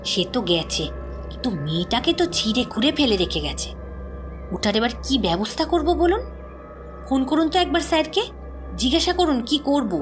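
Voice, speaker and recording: A woman's voice: high voice, lively intonation, a little fast, over music. An actress playing a brusque, coarse, callous female servant in an audio horror story, urgent and blunt.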